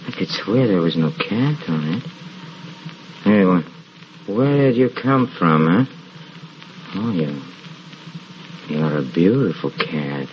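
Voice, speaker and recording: A low-voiced, haunted man mutters through drink in halting, repeated phrases. He drags his words slowly, brooding and evasive, in a radio horror play. The old recording is muffled. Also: a little hiss or hum. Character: drunken, haunted husband, brooding and evasive about a cat.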